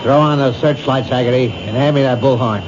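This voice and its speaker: A gruff police officer, voiced by a man, in an old radio mystery, barking short orders. The broadcast is muffled and dull. Loud music plays under him. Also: medium pitch, a little fast, natural voice. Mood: commanding. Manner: clipped orders. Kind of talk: 1970s radio drama acting.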